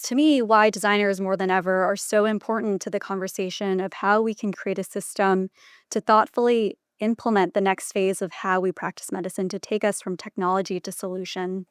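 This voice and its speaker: A woman speaking as a physician guest in an interview, giving considered answers in measured, deliberate phrases. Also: mid-range voice, clear, resonant tone, no room sound, clean studio sound.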